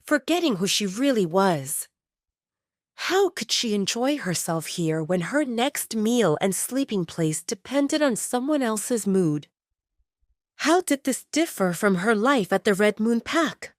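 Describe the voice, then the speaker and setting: Female romance audio drama narrator: lively, wide-ranging intonation, scripted storytelling, dry studio-like sound. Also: medium pitch, measured pace, no room sound.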